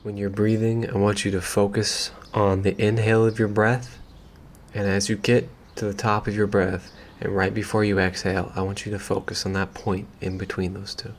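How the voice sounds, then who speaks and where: A man leading a guided meditation in a gentle, unhurried, soothing way, leaving long pauses between phrases. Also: fairly low pitch, flat delivery. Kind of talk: calm guided meditation, slow and soothing instruction.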